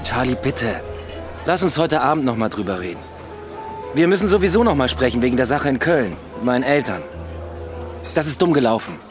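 An actor playing an evasive, grumbling police detective in a radio drama, casual and dismissive. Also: male voice, mid-range voice, sing-song, brisk, pauses often, over music, lightly acted. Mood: irritated.

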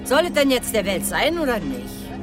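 Rambling, vague local witness, very lively pitch swings, radio drama with music underneath. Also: female voice, moderate pace. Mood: vague, musing.

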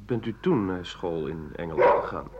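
A man's voice: low-pitched, animated, moderate pace. An actor playing a formal male interviewer who speaks briefly and politely in a radio drama. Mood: formal, inquiring. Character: formal male interviewer questioning an old doctor. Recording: dull, noisy 1980 radio recording.

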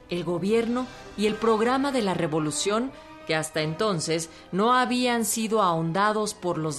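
Steady woman narrator over soft violin. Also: medium pitch. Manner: steady, informative delivery. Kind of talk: scripted narration. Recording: faint violin music underneath.

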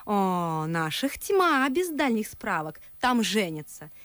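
An actress playing a haughty, waspish unmarried countess in a radio play, speaking in an arch, affected, needling way. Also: female voice, medium pitch, sing-song, slow, a bit bright, no room sound. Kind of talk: theatrical radio play acting.

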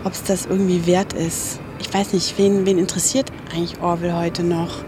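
An actress playing a self-doubting radio journalist, talking to herself in a naturalistic, tentative way. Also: female voice, fairly low pitch, level tone, normal speed, noisy background, close to an everyday voice. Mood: doubtful, musing. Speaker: radio play protagonist, reporter. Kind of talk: naturalistic radio drama monologue.

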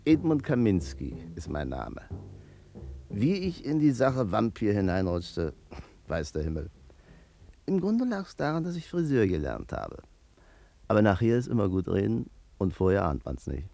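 An actor playing a nervous, talkative barber in a 1950s radio crime play, with a chatty, colloquial manner. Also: male voice, on the low side, very animated, unhurried, creaky, mellow, no room sound, faint music behind, heavily acted. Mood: chatty, wry. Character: nervous, talkative Berlin barber, afraid of the courts. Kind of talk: 1950s German radio play acting, first-person narration.

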